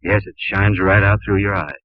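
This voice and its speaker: An actor playing an earnest, friendly young shoe store clerk, polite and animated, in a 1950s radio drama with dated broadcast sound. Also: male voice, lowish voice, moderate pace, no echo, natural voice. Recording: dull vintage radio broadcast.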